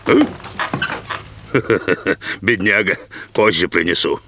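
A man voicing a genial, burly middle-aged dog in a cartoon dub, hearty, courteous and expressive. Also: sits low, slow pace, background noise. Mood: patient. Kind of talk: cartoon dubbing.

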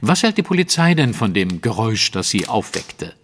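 Analytical detective, fairly low voice, measured, sceptical, articulate, radio play, faint background noise. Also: male voice, sing-song. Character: composed, analytical male detective, sceptical and precise. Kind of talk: German audio drama acting.

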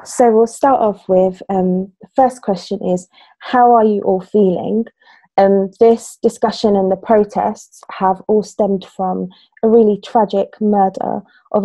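Soft-spoken woman in her thirties hosting. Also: medium pitch, creaky, dry recording, low-quality recording. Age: 30s. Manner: gentle, with many ums. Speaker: youth worker hosting a panel. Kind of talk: video call panel discussion. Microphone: laptop webcam on a video call.

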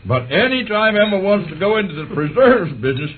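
An actor playing a folksy, gluttonous country radio host in an old radio drama. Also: male voice, high-pitched, sing-song, a little fast, a crowd in the background. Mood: delighted. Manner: folksy, hammy, throat-clearing. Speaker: radio show host character. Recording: phone-like old radio recording, hiss.

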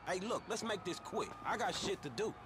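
A man's voice: high voice, lively intonation, brisk. A man playing an exasperated, reluctant young streetwise guy in a video game, grumbling in profane slang. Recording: loud car and street noise. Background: loud car engine and street ambience.

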